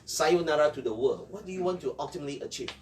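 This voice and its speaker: A man with a fairly high voice hosts an interview in a conversational way. He sounds set back from the microphone, and there is faint noise in the recording. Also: fast. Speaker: interviewer, show host. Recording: distant mic with faint background noise.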